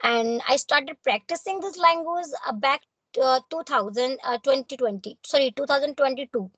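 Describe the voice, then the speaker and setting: A woman's voice: sits high, normal speed, a bit bright, dry recording, highs cut off. A young woman with a North Indian accent and Hindi as her first language, speaking English in a formal, courteous, earnest way in a practice conversation. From Punjab, India.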